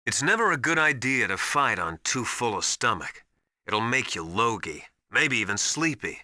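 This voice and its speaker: An actor playing a stern veteran military survival instructor in a video game, briskly lecturing with emphatic, commanding authority. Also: male voice, mid-range voice, very animated, bright, forceful tone, no echo, studio quality. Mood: firm, advising. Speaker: mission survival adviser. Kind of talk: video game voice acting, instructional radio briefings.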